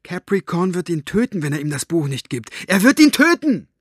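A man voicing a frantic, stubborn young girl who bursts out loudly and pleads. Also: a little high, normal speed, slightly rough, dry recording, studio quality. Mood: upset, accusing. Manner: loud, pleading, breathless outbursts.

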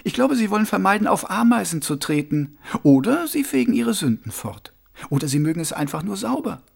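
A man voicing a cool, sharp-tongued young streetwise woman in an audiobook, with a dry, mocking, brisk delivery. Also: high voice, very animated, creaky, breathy edge, pitched above the performer's own voice, full character voice. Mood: flippant.

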